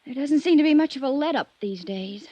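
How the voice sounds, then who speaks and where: A woman's voice: high voice, lively intonation, brisk, darkish tone, no room sound. An actress playing a troubled, lovelorn young woman from a wealthy family in a 1950s radio drama, confiding wistfully and hesitantly. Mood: uneasy. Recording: muffled vintage radio recording.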